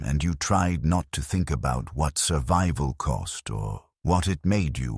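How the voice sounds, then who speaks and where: A man reading dramatic scripted narration in a grim, ominous and solemn manner, as the storyteller of a dark sci-fi tale. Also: a bass voice, breathy edge, no room sound, studio quality.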